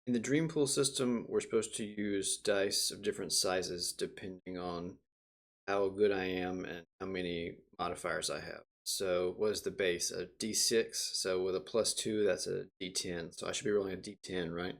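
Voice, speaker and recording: A man with a deep voice plays a tabletop game. He speaks casually and spontaneously, working things out aloud as he goes. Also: fairly even intonation, moderate pace, gravelly.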